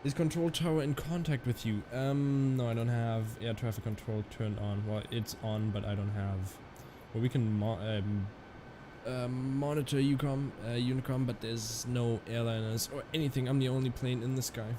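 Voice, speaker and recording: A man's voice: medium pitch, steady tone, normal speed, noisy background. A man live-streaming a flight simulator, chatting casually with viewers and rambling through fillers and restarts.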